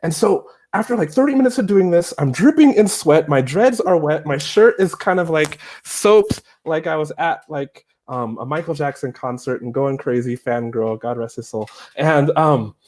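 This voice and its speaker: A man telling a lively, self-mocking story on a video call, acting out scenes, speaking through an earphone mic in a small room. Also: fairly high pitch, moderate pace, hardly any pauses. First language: not Chinese. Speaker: Chinese teacher, guest.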